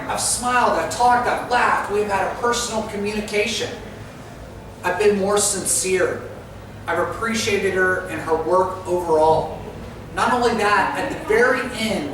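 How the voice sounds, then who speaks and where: Man, coaching tone, talking to an audience, high voice, roomy echo, heard from a distance, background noise. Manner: instructive, coaching tone with direct address. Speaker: speaker giving a club talk. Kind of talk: presentation to an audience. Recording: echoey hall from far off with noise.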